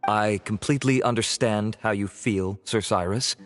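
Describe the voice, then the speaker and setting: An actor playing a measured, formal investigator who reports findings politely, in a game scene with faint music. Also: male voice, on the low side, lightly acted.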